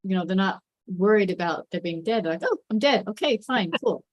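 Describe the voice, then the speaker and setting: A woman's voice: medium pitch, lively intonation, brisk, no room sound. A woman explaining her take conversationally and with animation in a group discussion.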